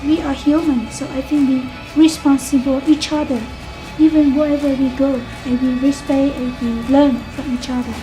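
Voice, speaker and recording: A woman's voice: sits high, level tone, moderate pace, low-quality recording. A woman interviewed for a documentary, speaking earnest, simple English that is not her first language. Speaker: hotel founder interviewed in a documentary. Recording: documentary clip with music underneath.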